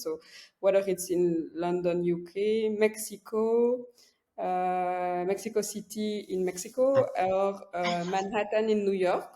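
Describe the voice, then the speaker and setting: A young woman with a Belgian French accent, speaking English as a second language after French. She talks softly and thoughtfully, often pausing on "uh" as she looks for words. Her voice sits in a middle pitch. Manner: soft-spoken, searching for words with frequent "uh"; laughs lightly while speaking. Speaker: guest psychiatrist. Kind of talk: casual interview talk.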